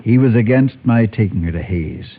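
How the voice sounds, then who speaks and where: A low, husky man's voice carries a former gunfighter who became a preacher and is crushed by guilt. He confesses gravely and haltingly in vintage Western radio style. The recording is thin and tinny, like a telephone. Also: animated. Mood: grieving, bitter. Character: haunted former gunfighter turned preacher, guilt-ridden man. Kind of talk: 1950s Western radio drama acting. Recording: muffled, tinny old-time radio recording.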